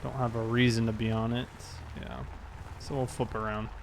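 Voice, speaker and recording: A man's voice: fairly low pitch, flat delivery, normal speed. Casual man streaming, noisy background. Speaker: game livestreamer. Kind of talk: casual livestream commentary of a police roleplay game.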